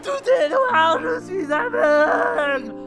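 A man acts out a panicked man in a comic audio drama. He wails tearfully in a very high voice that swoops wildly and drags its words, over loud music. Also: sing-song, full character voice. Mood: panicked wailing. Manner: tearful, drawn-out wailing. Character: panicky wailing man brought back to life. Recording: music under the voice.

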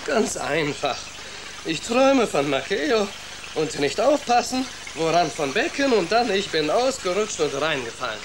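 Children's radio play rat: Spanish accent, boastful yet timid, broken grammar, lively highish pitch, loud ambience behind. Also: male voice, unhurried, noisy background, exaggerated voice. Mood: weary, defiant. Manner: broken German, theatrical. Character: boastful but timid male rat, Spanish-speaking vagabond.

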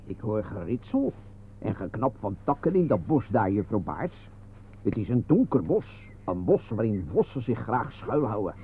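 An actor playing a fussy, self-pitying gluttonous office worker, melodramatic and lamenting, in a radio play. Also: male voice, lowish voice, sing-song, normal speed, slightly rough, exaggerated voice. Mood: hushed, formal. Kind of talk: absurdist radio play acting. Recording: narrow, phone-like old radio recording.

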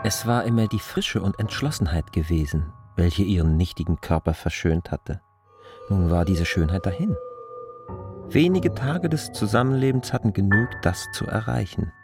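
Very low male narrator over music. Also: lively intonation, moderate pace. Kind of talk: reflective literary narration in a radio drama.

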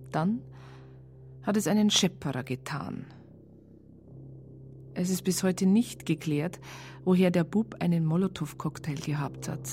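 An actress playing a wryly observant police psychologist, narrating a radio crime drama in a measured way. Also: female voice, fairly low pitch, a little mellow, a little hiss or hum.

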